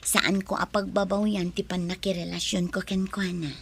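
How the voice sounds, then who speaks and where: A woman playing a kind, affectionate young bride-to-be in an Ilocano radio drama, speaking softly and reassuringly. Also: medium pitch, level tone, normal speed, husky. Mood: respectful, warm. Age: young adult.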